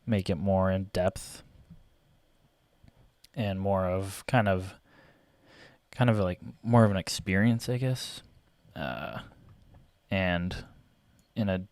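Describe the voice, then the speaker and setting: A young man with a low voice talks through his photo editing in a loose, halting ramble, full of fillers and throat-clearing. His voice has gone a little raspy from talking. The sound is close and dry on a desk mic. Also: a little mellow, dry recording. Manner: hesitant, many fillers and restarts, clears throat often. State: voice getting raspy. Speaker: photographer and vlogger. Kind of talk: casual rambling commentary while photo editing.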